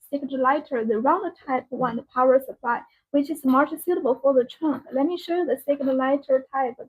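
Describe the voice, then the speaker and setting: A woman hosting a live product demonstration and sales pitch, speaking in halting, repetitive non-native English phrasing. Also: husky. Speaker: live-stream sales host. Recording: tinny phone-like live-stream audio.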